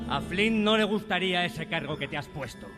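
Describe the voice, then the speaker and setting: An actor playing a sinister, taunting pirate in a radio drama. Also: male voice, sits high, animated, a little fast. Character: sinister, taunting pirate, old shipmate of the captain. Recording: long reverb, loud music behind.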